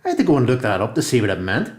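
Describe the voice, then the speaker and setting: Male actor as earnest Northern Irish inmate reading his letter. Mid-pitched, plain-spoken, reflective, radio drama, roomy sound. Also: sing-song, rapid-fire, close to an everyday voice. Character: earnest, reflective male prisoner who found education. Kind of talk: radio play, letter read as monologue.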